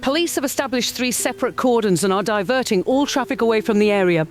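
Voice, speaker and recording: A woman's voice: on the high side, expressive, quick pace, soft background music, lightly acted. A woman playing a field news reporter, formal, precise and controlled in a scripted live report. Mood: grave, controlled. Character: serious female British field news reporter.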